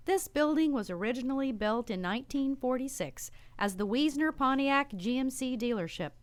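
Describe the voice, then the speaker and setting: Casting: woman for scripted theater promo. Higher voice, varied intonation, close dry sound. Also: dry recording. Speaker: promo announcer for a community theater.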